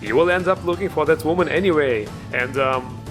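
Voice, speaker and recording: A man's voice: a little high, fairly quick, a bit bright, soft background music. A man hosting a video game mod show, giving informal, enthusiastic commentary with a joking streak. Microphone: voice-over recorded over gameplay music.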